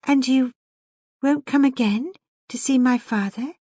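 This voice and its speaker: A petulant young lady from a storybook romance, played by a woman reader, high and insistent. She coaxes and pleads with proud, emotional urgency, in a very dry recording. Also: measured pace, a little mellow, no echo. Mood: pleading, insistent.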